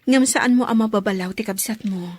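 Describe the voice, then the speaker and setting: A woman with an Ilocano accent playing a calm, sensible confidante in a radio drama. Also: on the high side, a little fast, lightly acted. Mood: concerned.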